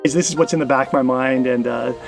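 A man hosting a podcast in a casual, conversational style, with halting phrasing that often repeats words. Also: medium pitch, steady tone, gravelly, music in the background. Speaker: podcast host. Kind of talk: casual interview and podcast narration.

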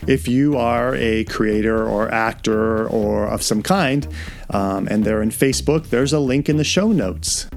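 A male podcast host with a creaky, gravelly edge to a mid-pitched voice. He talks casually and haltingly, repeating words as he goes, with music behind him. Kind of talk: casual interview and podcast narration.

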